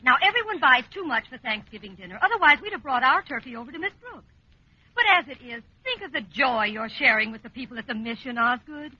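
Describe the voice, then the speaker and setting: Genteel, high-voiced radio-sitcom mother. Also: sing-song, quick pace, pushed and bright, a little hiss or hum, full character voice. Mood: soothing. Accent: American. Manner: genteel, patient, chiding. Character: placid, soothing middle-aged wife and mother. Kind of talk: 1950s American radio sitcom acting. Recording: muffled old radio recording.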